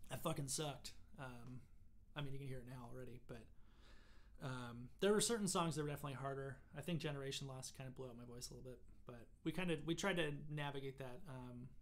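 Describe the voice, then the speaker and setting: A man in his thirties singing and chatting on a livestream from a small home studio, playing acoustic guitar into a condenser mic. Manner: sings high and loud, talks low and casually between songs. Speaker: singer of the band. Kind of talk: solo acoustic livestream performance and chat. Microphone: studio condenser mic, wearing headphones.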